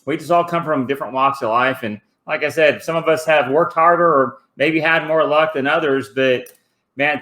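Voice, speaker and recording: A man's voice: on the high side, a bit bright. A man hosting a casual interview, friendly and affirming, with fillers like "kinda" and "uh". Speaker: host.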